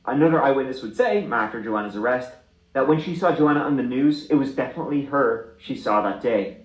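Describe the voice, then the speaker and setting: A man's voice: medium pitch, expressive, fairly quick, roomy sound, muffled recording. A man telling a true crime story in a casual, conversational way with wry asides.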